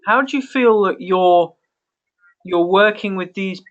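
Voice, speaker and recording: A man hosting a casual conference call, talking in a halting way and repeating phrases as he goes. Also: sits high, a bit bright, lo-fi sound, soft background music. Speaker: call host.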